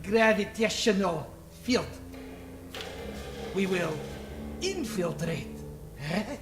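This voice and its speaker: Slow, gloating space villain. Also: male voice, high voice, lively intonation, reverberant, over music, exaggerated voice. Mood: scheming. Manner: hammy, savoring and repeating words. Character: gloating male space villain, oily and scheming. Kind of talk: old-time radio play acting.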